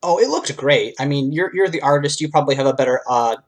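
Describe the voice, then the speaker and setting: Guy podcast co-host just chatting, mid pitch, kinda rambly and earnest fan talk. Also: fast talker. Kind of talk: casual chat, fan review.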